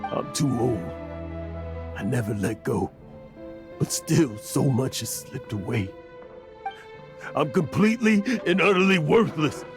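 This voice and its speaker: An actor playing a weary, guilt-ridden old adventurer in a game, with halting, heavy-hearted, emotional delivery. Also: male voice, mid-range voice, animated, music in the background. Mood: despairing. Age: older. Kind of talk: dramatic game voice acting.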